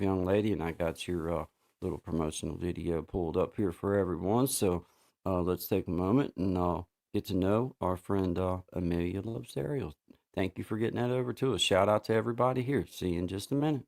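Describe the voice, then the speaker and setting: A man with a very low, gravelly voice hosts a relaxed livestream chat. He rambles, repeats words and fills pauses with "uh" as he talks to viewers. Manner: rambling, repeats himself, many fillers. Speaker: livestream host.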